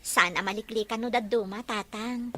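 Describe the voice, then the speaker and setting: Young Ilocano mother played by a woman, family drama; soft-hearted and earnest, bright tone, background noise. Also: a little high, normal speed, lightly acted. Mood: wistful. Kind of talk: dramatized family audio story.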